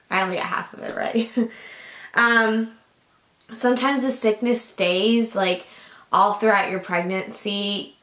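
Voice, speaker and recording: Young woman vlogger, casual and rambling, groggy raspy voice from a lingering cough, mid pitch, echoey room. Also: bright, forceful tone. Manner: chatty, rambling, lots of likes and ums. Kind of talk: casual chat to camera.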